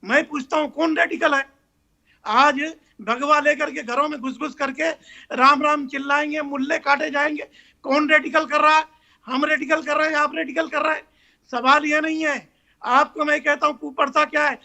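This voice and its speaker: Man, Indian accent, strained high pitch, creaky edge, combative debating cleric. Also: fairly even intonation, moderate pace, pushed and bright. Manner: combative, challenging, interrupting. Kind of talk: heated televised debate.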